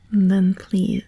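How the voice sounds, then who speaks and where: A woman voicing a friendly, calm sorceress in a whispered ASMR hypnosis roleplay, gentle and reassuring, breathy and soothing, close to the microphone. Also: mid-range voice, full character voice. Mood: hypnotic, soothing. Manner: slow, soothing, deliberate with long pauses. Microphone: close, dry microphone.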